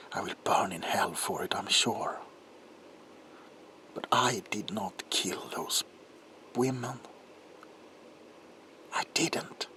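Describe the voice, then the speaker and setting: A man playing an anguished, guilt-ridden doctor confessing in an ASMR roleplay monologue, halting and intense, with a breathy voice that often drops into a whisper. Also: deep voice, sharp tone, full character voice. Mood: anguished denial. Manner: halting, haunted, intense, broken phrases.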